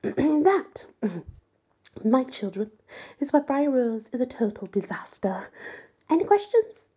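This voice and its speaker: A woman voicing a spiteful, condescending female teacher in an audiobook, breathy, with drawn-out emphasis. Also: sits high, big pitch swings, mellow, pitched above the performer's own voice, full character voice. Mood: scornful.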